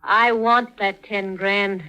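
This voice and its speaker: An American actress playing a scheming, double-crossing gangster's moll in a 1940s radio crime drama, talking in hard slang. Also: female voice, medium pitch, steady tone, slow, bright, forceful tone, smooth. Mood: cold, scheming. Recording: 1940s radio recording with music.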